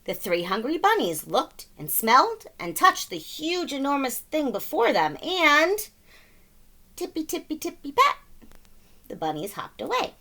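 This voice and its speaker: A woman narrates a children's storybook in a high, bright voice. Her reading is dramatic and emphatic, unhurried, and playful whenever sound words come up. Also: wildly swooping pitch. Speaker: storybook reader. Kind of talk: animated children's read-aloud narration.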